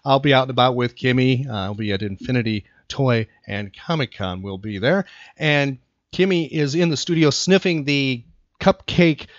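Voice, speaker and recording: A man's voice: mid-range voice, lively intonation, normal speed, dry recording. An American man hosting a casual talk show, emphatic and repeating phrases for effect. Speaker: podcast host. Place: studio. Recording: dry, slightly dull lo-fi studio sound.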